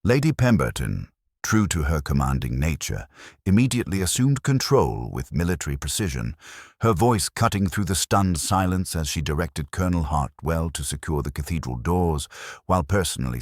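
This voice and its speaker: A man reading a scripted mystery story as an audiobook narrator, in a measured, literary and dramatic storytelling manner. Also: very deep voice, sing-song, dry recording, studio quality.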